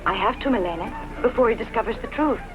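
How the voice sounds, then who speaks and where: Woman playing a quick-spoken, resolute young princess. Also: on the high side, expressive, over music, close to an everyday voice. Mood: earnest, resolute. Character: composed, resolute young princess confiding in her maid. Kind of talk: dubbed costume-film acting. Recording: dull lo-fi old film soundtrack.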